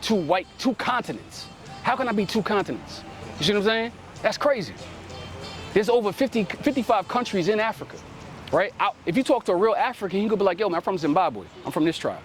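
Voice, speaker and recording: A man's voice: high voice, lively intonation, a little fast, faint music behind. A man preaching outdoors in street teaching style, ending nearly every sentence with "right?" and telling a helper to read. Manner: commanding, calls on the reader to read. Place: outdoors in a city.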